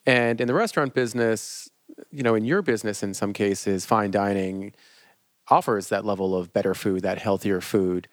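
A man interviewing a chef, asking measured questions and giving short affirmations. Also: on the low side, no echo, studio quality.

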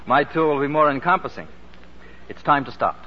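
A man's voice: on the high side, expressive, a little fast. A man acting a dashing, impulsive suitor in an old radio drama, with a suave manner. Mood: ardent. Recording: muffled, noisy 1940s radio recording.

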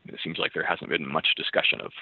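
Man as research co-host, low register, tentative casual explainer, heard over a muffled call line. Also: steady tone, fast talker, vocal fry, husky, no echo. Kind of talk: casual analytical discussion. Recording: muffled narrow phone-like line.

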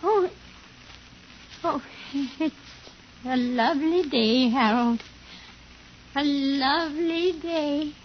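A woman's voice: sits high, drawn-out words, pauses often. A woman playing a frail, befuddled old invalid aunt in 1950s radio drama, vague, dreamy and repetitive. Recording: muffled, hissy old radio broadcast.

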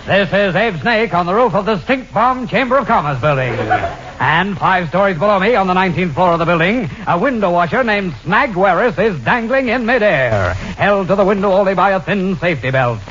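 An actor playing an earnest, melodramatic news reporter in a 1940s radio comedy on a muffled old recording. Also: male voice, high-pitched, lively intonation, brisk, fairly bright tone, slight background noise. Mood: dramatic, earnest.

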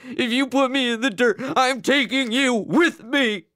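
Audiobook role: elderly father, gruff, wheezy and muddled, childlike underneath played by a male narrator. Very dry recording. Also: fairly low pitch, animated, unhurried, dry recording. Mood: hysterical, crying. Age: older. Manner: muttering, cantankerous, swearing.

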